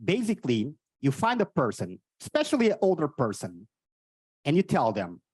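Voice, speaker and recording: A Portuguese man with a Portuguese accent and an odd, cartoonish voice, doing energetic stand-up and teasing the audience on stage. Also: on the high side, moderate pace, husky, no room sound. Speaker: stand-up comedian. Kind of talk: live stand-up with crowd work. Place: on stage at a live event.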